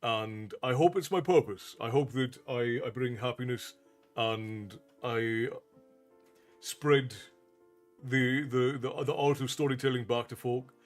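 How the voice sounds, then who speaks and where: A man's voice: medium pitch, steady tone, slow pace, faint music behind. A man in his forties from Ireland with an Americanized Southern Irish accent, chatting casually on a livestream and thanking viewers by name. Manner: folksy, thanks chat by name, calls viewers "love". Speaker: streamer and storyteller host.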